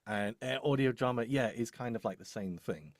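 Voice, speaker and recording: A man's voice: mid-range voice, moderate pace, dry recording, studio quality. A man chatting casually and explaining his work, hesitant and rambling as he thinks aloud. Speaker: voice-over demo producer.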